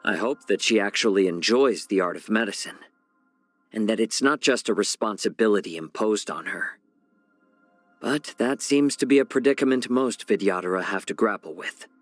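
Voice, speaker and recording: A calm male healer-strategist from a video game, played by a man, speaking in a lowish voice. He is genteel and wry, measuring out his words with gentle teasing. The recording is close and dry, with music playing underneath. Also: normal speed, no echo, natural voice. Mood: reflective, measured. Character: calm, wry, courteous male healer and strategist who loves spicy food. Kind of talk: scripted video game character voice lines.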